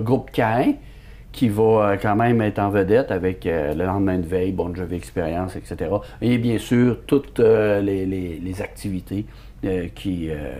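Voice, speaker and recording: Low, mellow Québécois reporter. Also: male voice. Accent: Québécois French. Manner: affirming, with fillers. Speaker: reporter co-host. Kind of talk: casual news chat.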